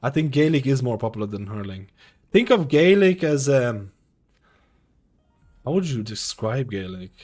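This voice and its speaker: A man in his thirties, originally from Lithuania, talks to his stream viewers in a loose, rambling way, circling back and repeating phrases. His voice sits at a middling pitch. Faint music plays quietly behind him. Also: big pitch swings, normal speed, long pauses. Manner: rambling, repeats himself, many fillers. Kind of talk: casual live-stream chat. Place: home streaming room.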